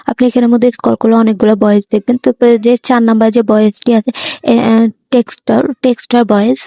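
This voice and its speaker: A Bangladeshi-accented woman walks through an app tutorial at a quick clip, her voice dark and mellow and held to a flat, even line. She repeats each step and fills gaps with hesitant sounds, recorded close and dry. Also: fairly high pitch, creaky, no room sound. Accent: Bangladeshi Bengali. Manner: repetitive instructions with many filler pauses. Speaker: tech tutorial host.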